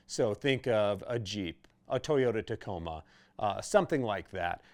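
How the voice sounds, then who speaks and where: A man presenting an instructional video in a conversational, plain-spoken way, explaining things patiently step by step. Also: medium pitch. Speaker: host of product tutorial videos for a radio retailer.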